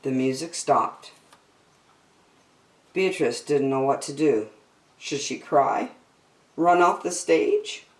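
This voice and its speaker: A woman hosting a children's story time, reading a picture book aloud expressively. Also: on the low side. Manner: expressive, addresses listeners as friends. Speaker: storytime host. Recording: roomy indoor echo.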